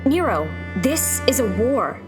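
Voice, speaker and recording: A woman's voice: fairly high pitch, sing-song, slow. A woman playing a pragmatic rebel fighter in a sci-fi radio drama, with a firm, urgent, matter-of-fact delivery. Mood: challenging. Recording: music behind the voice. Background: music.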